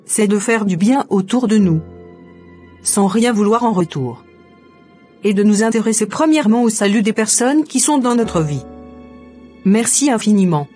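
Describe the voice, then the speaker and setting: A woman acting a devout young woman in a cartoon drama, earnest and trusting. Music plays under her scripted dialogue, and her pitch sits at an ordinary height for a woman. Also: expressive, normal speed, pauses often, warm tone, close to an everyday voice. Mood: grateful.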